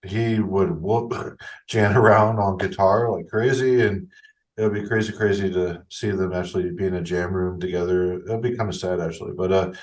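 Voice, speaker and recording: A man's voice: deep voice, fairly even intonation, moderate pace, darkish tone. A man with a slightly nasal voice hosting a casual podcast and wrapping up the episode. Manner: easygoing, casual, wraps up the show. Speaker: podcast host.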